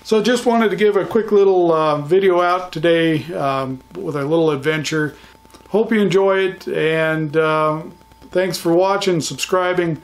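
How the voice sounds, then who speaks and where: Male vlogger, slightly high voice, easygoing and folksy, chatting plainly through a casual recap for viewers. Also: a little slow. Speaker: ham radio vlogger.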